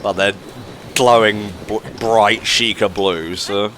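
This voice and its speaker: A man's voice: fairly low pitch, steady tone, slow, fairly bright tone, a little hiss or hum. A man casually playing a game, teasing his friends and muttering as he plays. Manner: easygoing, teasing, talks to himself as he plays. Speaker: player. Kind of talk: casual let's-play chat.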